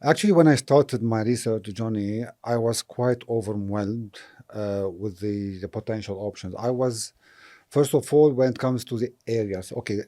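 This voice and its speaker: Hesitant, mellow-voiced man from Lebanon. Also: lowish voice, moderate pace. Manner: thoughtful, hesitant with frequent fillers. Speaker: property investor, interview guest. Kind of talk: casual interview answers.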